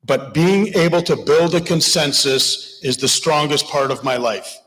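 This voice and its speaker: A man's voice: fairly high pitch, measured pace, a bit bright. A man running as an independent candidate, answering an audience question passionately and plainly. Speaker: election candidate. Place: church hall. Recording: long reverb of a hall.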